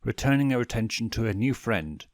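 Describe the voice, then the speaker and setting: A man reading a story aloud in an expressive audiobook narration style. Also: medium pitch, brisk, dry recording, studio quality. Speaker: fanfiction narrator.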